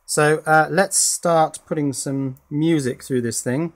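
A man's voice: on the high side, steady tone, slow pace, background noise. A man presenting an informal hands-on electronics demonstration in a matter-of-fact, explanatory way.

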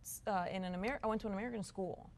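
Woman from Puerto Rico, gravelly voice. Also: medium pitch, fairly even intonation, very fast, a little mellow, a little hiss or hum. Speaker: guest. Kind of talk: casual interview talk. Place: small living-room studio set.

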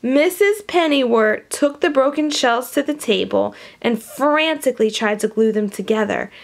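A woman reading a storybook aloud to young children in an expressive, animated way, with hushed asides. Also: a little high, normal speed, vocal fry. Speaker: storybook reader.

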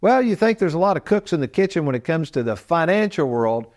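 A man's voice: fairly high pitch, sing-song, brisk, darkish tone. A man in his sixties presenting financial advice as a show host, folksy and emphatic as he explains to viewers. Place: TV studio set, indoors.

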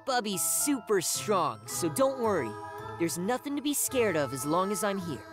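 A woman's voice: medium pitch, big pitch swings, moderate pace, over music, lightly acted. A woman voicing a brash young zombie boy in an anime-style video game, blunt and cocky. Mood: protective.

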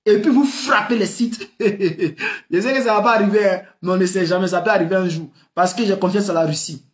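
A man's voice: high-pitched, brisk. A man in his thirties with a West African French accent, giving impassioned political commentary to camera, full of rhetorical questions and laughs. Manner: animated, rhetorical questions, frequent laughs, gestures with hands. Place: small room indoors. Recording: echoey room.

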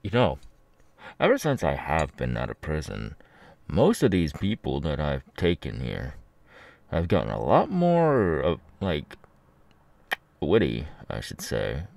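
A man voicing a menacing, mocking ex-convict kidnapper in an ASMR audio roleplay, with a halting, sneering drawl. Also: very deep voice, wildly swooping pitch, vocal fry, a little mellow, no echo, heavily acted. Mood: smug, threatening.

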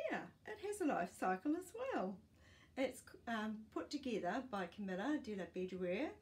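A woman, reading a children's book aloud in a deliberate, explanatory way with asides. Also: medium pitch, darkish tone. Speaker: storytime presenter reading to children. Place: small living room, indoors. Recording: faint room noise.

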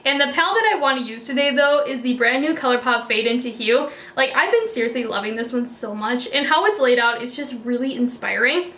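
A young woman in her twenties chatting through a makeup tutorial, a little raspy after hiccups, full of "like" and "kinda". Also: high voice, animated, fairly quick, sharp tone. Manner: chatty, many "like" and "kinda" fillers. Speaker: beauty vlogger. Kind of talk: casual makeup tutorial talk. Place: indoors before a plain backdrop.

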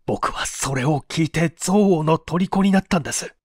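A man's voice: on the high side, normal speed, husky, no echo, studio quality. A man playing a male secretary tormented by long-suppressed love, speaking with bitter, dramatic anguish. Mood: bitter resentment.

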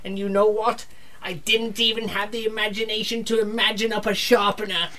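An actor playing a dejected, self-pitying superhero who doubts himself, whining and sighing in a comedy sketch. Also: male voice, high voice, level tone, brisk, sharp tone. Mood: sulky, defensive.

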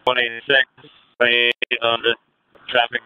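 A man's voice: mid-range voice, monotone, slow, dull audio. A man working as a state patrol officer, giving terse, clipped reports over a police radio. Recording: noisy police scanner radio audio.

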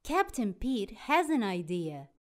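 A woman narrating a children's storybook aloud in an expressive, dramatic way. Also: medium pitch, slow. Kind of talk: animated children's read-aloud.

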